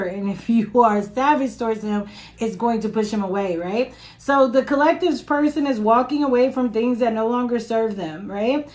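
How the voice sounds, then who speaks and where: A woman with a raspy voice giving a casual live-stream tarot reading into her phone, chatting and reading comments between cards. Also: fairly high pitch, a little mellow. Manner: chatty, reads viewer comments aloud, laughs often. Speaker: tarot reader.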